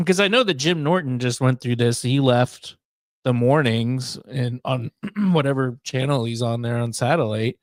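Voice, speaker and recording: A man's voice: medium pitch, a little slow, dry recording. Casual male radio host, dry close sound. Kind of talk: casual unscripted chat, reacting and asking questions.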